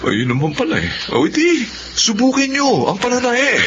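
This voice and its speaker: A man playing a helpful, excitable older Filipino brotherly adviser in a radio drama. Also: extremely high pitch, moderate pace, heavily acted. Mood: enthusiastic. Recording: dull audio with clear background noise.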